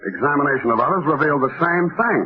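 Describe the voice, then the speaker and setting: An actor playing a wry, cynical American private detective in a deadpan 1940s radio style. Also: male voice, fairly high pitch, fast, close to an everyday voice. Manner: sardonic, deadpan. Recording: thin, muffled vintage radio recording.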